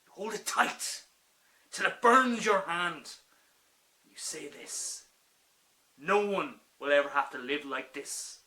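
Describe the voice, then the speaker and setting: Young man acting an anguished, high-voiced war veteran. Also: lively intonation, takes it slowly, long pauses, heavily acted. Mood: anguished, intense. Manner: intense, impassioned, swinging from quiet to loud. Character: anguished, forgiving war-veteran doctor pleading against revolution. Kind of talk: dramatic stage monologue.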